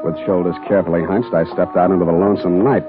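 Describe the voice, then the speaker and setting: A thin, tinny 1940s radio recording with music carries a man acting a hard-boiled detective. His voice is on the low side. He narrates and talks tersely, with deadpan, sardonic wit. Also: fast, lightly acted. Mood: wry narration. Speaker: lead actor and narrator of a radio detective drama.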